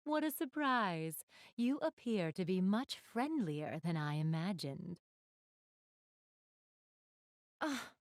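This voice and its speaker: A woman's voice: on the low side, no echo, clean studio sound. A woman voicing a polite, ladylike young goddess in a video game, speaking formally and graciously to the player. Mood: surprised, relieved. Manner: formal, refined, gently ladylike phrasing.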